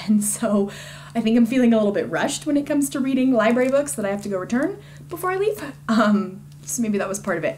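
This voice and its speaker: A woman's voice: mid-range voice, a little mellow, slight background noise. A woman giving a casual, conversational book review in an informal and enthusiastic way.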